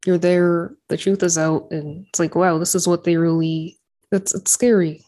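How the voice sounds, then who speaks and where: A woman with a soft, mellow tone, pitched a little low. She is an interviewer in easy conversation. She listens attentively and echoes back what she hears. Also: fairly even intonation, normal speed. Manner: attentive, reflects back what was said before asking.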